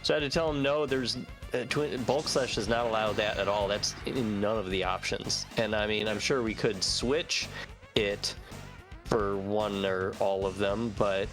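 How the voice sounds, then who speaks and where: A man's voice: fairly low pitch, fairly even intonation, unhurried. A man chatting casually and explanatorily as a member of a game translation team, in a conversational way. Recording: music playing behind the voice. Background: music.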